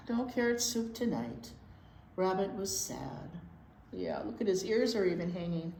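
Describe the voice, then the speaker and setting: Expressive woman reading slowly to children. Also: mid-range voice. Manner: expressive, drawn-out words, exclamations and asides to children. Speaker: storytime host, teacher. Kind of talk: animated read-aloud for young children. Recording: roomy echo, clear background noise.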